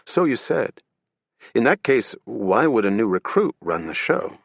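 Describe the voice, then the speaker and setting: رجل يؤدي عميلا فيدراليا ساخرا كثير المزاح، بصوت أعلى نبرة من صوته العادي، وتسجيل جاف بلا صدى. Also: male voice, medium pitch, sing-song, measured pace, creaky, no room sound, pitched above the performer's own voice, exaggerated voice. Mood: businesslike. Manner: sardonic, joking. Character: wisecracking male federal agent, buzz cut.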